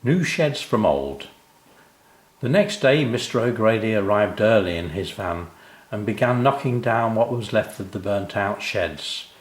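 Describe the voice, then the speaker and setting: An older man narrating his own children's story in an expressive storytelling style. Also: lowish voice, measured pace, echoey room.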